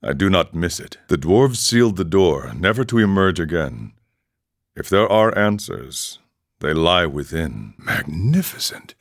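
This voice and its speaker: An actor playing a serene, eccentric ascetic monk in a video game, speaking in a measured, philosophical way with formal phrasing. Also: male voice, sits low, sing-song, exaggerated voice. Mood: wry, musing. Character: serene, eccentric ascetic drug-taking monk who relishes suffering. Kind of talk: scripted game character dialogue.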